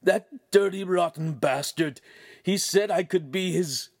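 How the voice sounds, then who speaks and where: A man voicing a frightened, naive young peasant squire in an audiobook, pleading and breathless with rough, uneducated speech. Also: high-pitched, quick pace, no room sound, studio quality, pitched above the performer's own voice. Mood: bitter, hurt. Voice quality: strained, breathless.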